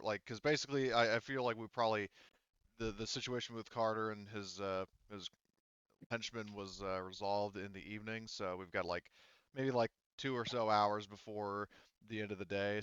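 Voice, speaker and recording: A man with a smooth, fairly low voice acting as game master. He explains the scene casually, stopping and restarting, on a close, dry mic with slightly dulled sound. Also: a bit bright, dry recording, dull audio. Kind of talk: casual tabletop game narration and chat.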